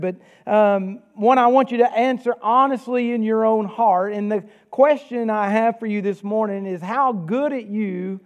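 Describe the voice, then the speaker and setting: A man with a Southern US accent preaching a sermon, reading scripture and praying in an earnest, measured way. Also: sits high.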